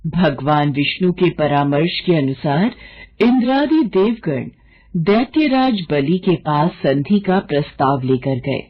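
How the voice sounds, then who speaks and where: A woman's voice: low-pitched, big pitch swings, unhurried, dull audio, faint music behind. A woman narrating a scripted mythological tale as a storyteller.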